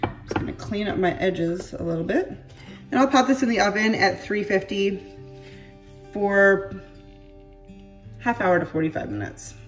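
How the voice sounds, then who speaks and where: A woman's voice: medium pitch, normal speed, long pauses, distant mic, faint music behind. A woman in her thirties, congested, chatting casually and self-deprecatingly as she cooks dinner at home. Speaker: home cooking vlogger. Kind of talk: casual chatty cooking narration. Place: home kitchen and outdoor patio.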